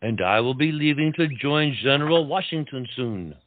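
An actor playing an urbane French marquis and officer, a loyal friend who coaxes and reassures, dropping French words into comic dialogue. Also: male voice, medium pitch, lively intonation, moderate pace, no room sound, phone-quality sound, close to an everyday voice. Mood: reassuring, urging. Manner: coaxing, sprinkles speech with French words. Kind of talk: theatrical comedy audio-play acting.

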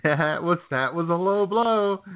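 A man's voice: sits high, expressive, unhurried. An American man co-hosting a radio show, chatting casually with joking banter. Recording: tinny band-limited remote audio.

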